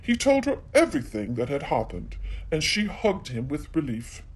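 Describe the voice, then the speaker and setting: A man storyteller reading a children's tale aloud in an animated narrating style. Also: on the high side, moderate pace, breathy edge, rough recording.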